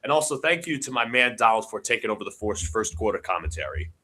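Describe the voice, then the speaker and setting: A man's voice: on the low side, quick pace, a little hiss or hum. A man hosting a live stream, calling basketball play-by-play and chatting with his co-host, sounding congested from allergies. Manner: excitable, shouts on big plays. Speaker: livestream host doing play-by-play. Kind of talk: live watch-along play-by-play commentary.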